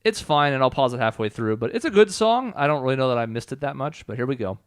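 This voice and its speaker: Fast-talking man explaining a video game. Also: mid-range voice, animated, clean tone. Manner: explanatory, opinionated. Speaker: let's play host explaining the game. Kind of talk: casual game commentary. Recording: game music playing behind. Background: video game music.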